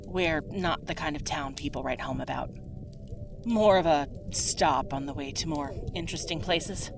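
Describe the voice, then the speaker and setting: A woman's voice: low-pitched, moderate pace, vocal fry, over music. A woman voicing a caring but firm tavern woman, motherly and soothing, in a fantasy roleplay audio drama. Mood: gentle, soothing. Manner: motherly, soothing, gently authoritative. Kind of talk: fantasy roleplay ASMR acting.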